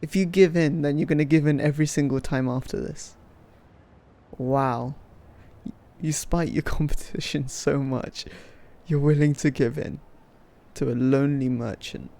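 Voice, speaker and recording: Creaky-voiced, irreverent male game streamer. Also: on the high side, fairly even intonation, normal speed, long pauses, dark tone. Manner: irreverent, blunt, slangy. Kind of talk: casual reaction commentary over a game. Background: video game audio and music.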